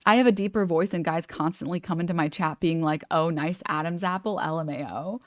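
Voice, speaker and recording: A young woman streamer talks in a loose, rambling way, leaning on "like" and "you know" as she goes. Her voice sits on the low side for a woman. The sound is close and dry. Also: fairly quick, no room sound. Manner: chatty and meandering, lots of "like" and "you know". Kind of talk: casual reaction stream commentary.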